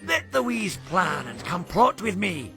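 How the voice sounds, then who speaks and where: Creaky, high-pitched old rhyming wizard. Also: male voice, very animated, a little slow, exaggerated voice. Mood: gloating, ominous. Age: older. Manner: theatrical rhyming, gloating. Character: crazy old scheming wizard villain who taunts in rhyme. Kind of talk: animated cartoon voice acting. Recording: music behind the voice.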